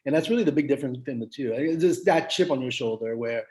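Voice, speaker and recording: Interview guest, French Canadian man, faint Quebec accent; animated storyteller with many restarts. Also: mid-range voice, fast. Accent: slight Quebec French. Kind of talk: casual interview conversation.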